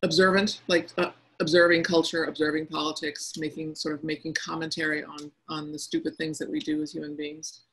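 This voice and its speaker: A middle-aged woman, a cartoonist, talking casually and reflectively over a video call. She speaks in a quiet, soft tone and often pauses to find her words. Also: low-pitched, level tone, slightly rough, low-quality recording. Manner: quiet, soft-spoken tone with frequent hesitations. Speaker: cartoonist speaking to young listeners. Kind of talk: casual reflective talk answering questions. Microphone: video conference call.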